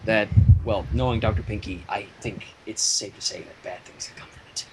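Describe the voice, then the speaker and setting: A man's voice: on the low side, steady tone, fairly quick, background noise, voice pushed down, close to an everyday voice. A man voicing a young male dragon helper with a playful, mock-somber manner in a cartoon fan story reading.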